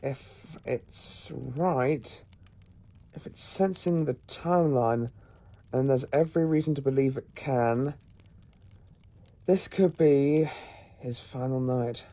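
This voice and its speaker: A man playing an analytical, protective professor in an audio drama, measured and musing aloud. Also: medium pitch, mellow, no room sound. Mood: thoughtful, grave. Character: calm, analytical male professor and protector battling the supernatural.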